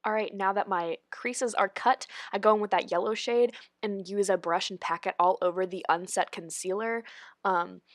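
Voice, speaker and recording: A woman's voice: mid-range voice, fairly even intonation, moderate pace, no echo, clean studio sound. A young woman with a stuffy, congested voice from a cold, talking casually through a makeup tutorial voice-over, full of "like" and "honestly". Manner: chatty, lots of "like" and "honestly". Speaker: beauty vlogger. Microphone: voice-over recorded separately.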